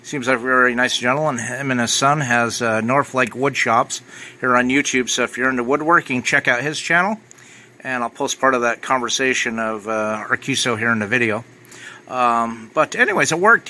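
Bright-voiced American man over clear background noise. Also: medium pitch. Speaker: amateur radio reviewer. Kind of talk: casual product review narration.

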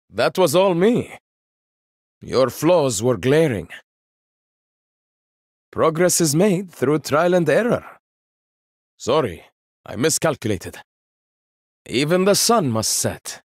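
Casting: proud young game hero, inventor-warrior, dry wit, slow deliberate delivery, lively pitch, dry close studio sound. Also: male voice, no echo, exaggerated voice. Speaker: game character. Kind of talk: video game voice lines.

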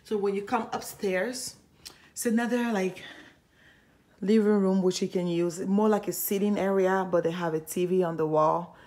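A woman giving a casual, chatty home tour in a hushed voice, gushing with admiration as she walks through indoor rooms with a handheld camera. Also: fairly even intonation, moderate pace. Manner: hushed, keeping voice low. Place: indoors, walking through a furnished model home. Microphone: handheld phone or camera held by the speaker.

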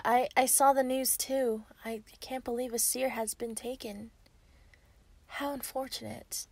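A woman in an ASMR roleplay voicing an obsessive, sweetly possessive female werewolf, breathy and often dropping into a whisper. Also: a little high, no echo. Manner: slow, teasing, giggly.

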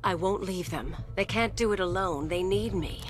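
A woman's voice: lowish voice, moderate pace, close to an everyday voice. A woman playing an earnest, grave supervillain who commands plants in a video game. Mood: defiant. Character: solemn, earnest female plant-controlling supervillain, adult. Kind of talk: video game cutscene acting.

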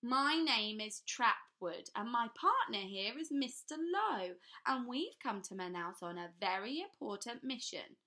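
A woman reading a storybook, voicing a tall, condescending Englishman who speaks slowly as though to small children. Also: a little high, sing-song, pushed and bright, pitched above the performer's own voice, full character voice. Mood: patronizing. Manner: slow, patronizing, oily. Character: pompous tall middle-aged Englishman, condescending.

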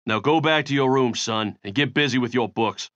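A man's voice: mid-range voice, normal speed, a bit bright, dull audio, slight background noise, close to an everyday voice. A man playing a stern, weary prizefighter father, firm and earnest, in a comic-book audio drama.

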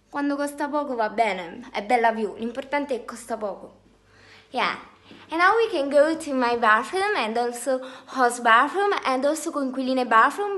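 A woman's voice: sits high, a bit bright. A woman in her twenties with an Italian accent, giving a playful, mock-glamorous tour of her small apartment in a mix of clumsy English and Italian, filmed on a phone at arm's length indoors. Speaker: vlogger giving a parody house tour. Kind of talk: comic home tour vlog. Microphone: phone held at arm's length.

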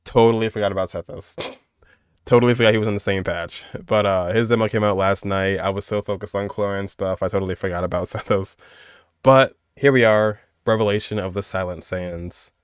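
A man's voice: lowish voice, fairly even intonation, brisk, clean tone, no room sound, clean studio sound. A young man giving casual, rambling reaction commentary as the host of a gaming video. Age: young adult. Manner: rambling, conversational. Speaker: host reacting to a game trailer.

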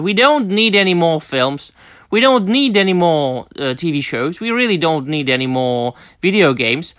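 A young man from the Republic, hosting his channel with a formal, deliberate opinion monologue full of fillers and restarts. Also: high-pitched, bright, forceful tone, dry recording, clean studio sound. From Czech Republic. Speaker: channel host.

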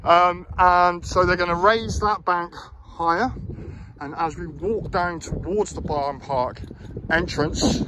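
Man giving a walking park tour. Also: a little high. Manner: explanatory, critical, hesitant with fillers. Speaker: local election candidate. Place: outdoor city park. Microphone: phone camera held while walking. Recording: phone recording outdoors with faint background noise.

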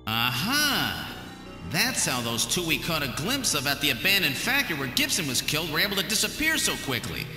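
A man's voice: fairly high pitch, huge pitch swings, a little fast. A man playing an earnest, determined detective hero in an adventure game, with dramatic, emphatic delivery. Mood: puzzled, deducing. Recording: reverberant echo, music behind.

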